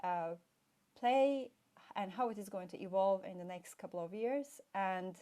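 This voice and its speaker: A woman's voice: mid-range voice, a little slow, dark tone. A middle-aged woman from Turkey chats as an interview guest, voice sitting in a comfortable middle range. She wanders through her story with plenty of fillers and restarts. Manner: chatty, meandering storytelling with fillers. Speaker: guest, play expert. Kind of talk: casual interview chat.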